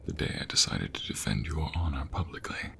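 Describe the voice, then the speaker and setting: A man playing a devoted king in a romantic fantasy ASMR roleplay, speaking tenderly in a breathy, gravelly murmur with formal, courtly phrasing. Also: extremely low pitch, lively intonation, a little fast, a bit bright, heavily acted. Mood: earnest, confiding. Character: devoted young king secretly in love with a commoner.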